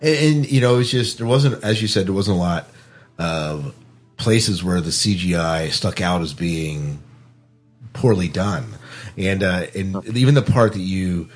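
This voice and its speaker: A man's voice: deep voice, lively intonation, a little slow, husky, faint music behind. A man hosting a podcast, talking casually about film in a conversational discussion. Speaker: podcast host.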